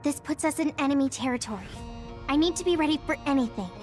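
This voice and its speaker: A woman voices a caring young soldier in an anime-style game. Her voice is high and earnest, that of a protective friend. Faint music sits underneath. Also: fast talker, a little mellow, natural voice. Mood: focused. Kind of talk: anime-style video game cutscene acting.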